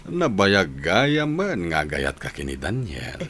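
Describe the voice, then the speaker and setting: A man's voice: deep voice, wildly swooping pitch, slow, a bit bright, a little hiss or hum, full character voice. An actor with an Ilocano Filipino accent playing a mild, supportive middle-aged father in a radio drama. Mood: proud, tender.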